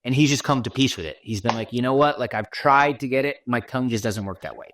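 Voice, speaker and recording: A man's voice: medium pitch, fast, a bit bright, dry recording, studio quality. A man from Washington D.C. with an American accent, a podcast guest talking casually in an interview, loose and chatty, with lots of "you know" and "right". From Washington D.C., USA. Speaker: podcast guest, content creator.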